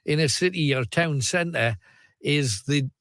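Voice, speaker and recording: A man's voice: on the high side, takes it slowly, fairly bright tone, no room sound, clean studio sound. A man hosting a late-night radio phone-in, chatting casually with callers. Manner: chatty, reads out the phone number. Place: radio studio. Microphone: studio microphone.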